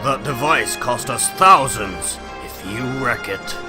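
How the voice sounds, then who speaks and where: Combative grown superhero, acted by a man for a comic book drama; gruff, theatrical, music under the voice. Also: medium pitch, animated, slow pace. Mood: gruff, threatening.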